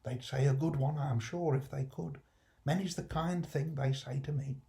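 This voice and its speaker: A man's voice: on the high side, steady tone, moderate pace, vocal fry, mellow. Man acting a kindly, musing old working-class father. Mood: reflective. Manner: musing, fond, homely dialect. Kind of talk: acted classic storybook reading.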